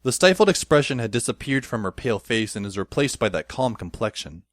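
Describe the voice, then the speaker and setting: Man playing a wry, lively-pitched teenage narrator. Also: brisk, dry recording, clean studio sound, lightly acted. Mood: calm, reflective. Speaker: narrator and lead character. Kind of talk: first-person scripted audio drama narration.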